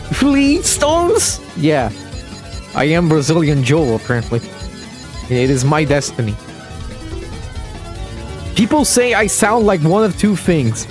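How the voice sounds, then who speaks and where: A man's voice: sits high, sing-song, slow pace, pauses often, faint music behind. A man giving casual live commentary on a game replay stream, chatting with viewers and laughing often.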